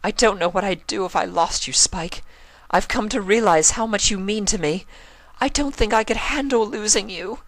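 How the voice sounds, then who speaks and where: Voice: mid-range voice, normal speed, fairly bright tone, dry recording, voice pushed up, natural voice. A performer voicing an elegant young female unicorn from a cartoon fan story, refined, theatrical and full of tender emotion. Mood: tearful.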